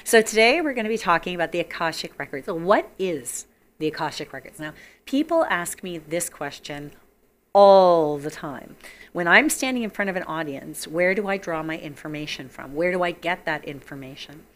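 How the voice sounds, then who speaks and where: A woman hosting a psychic call-in show, her voice husky from being sick, chatty and enthusiastic, repeating words for emphasis. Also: on the low side, lively intonation, moderate pace. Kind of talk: casual talk-show monologue.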